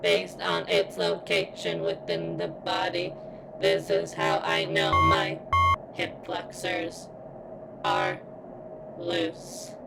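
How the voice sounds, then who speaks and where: A woman voicing a guarded robot girl who yearns for sentience in an ASMR roleplay, speaking in stilted, formal, halting phrases with a strained, pained sound. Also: rumbling low, sing-song, vocal fry, very noisy, full character voice. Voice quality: strained, as if in constant pain.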